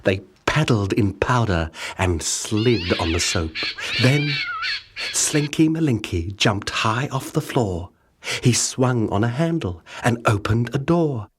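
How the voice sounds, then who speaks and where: A man narrating a children's picture book in rhyme, with dramatic, measured, expressive storytelling. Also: medium pitch, noisy background. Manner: dramatic, measured storytelling with rhythmic rhyming delivery. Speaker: audiobook narrator. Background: music.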